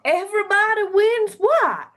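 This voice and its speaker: A woman plays a weary mother in a radio play. Her voice is high and swings widely in pitch. She speaks slowly, with exasperation. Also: expressive, a bit bright, heavily acted. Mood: bewildered. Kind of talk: amateur radio drama acting.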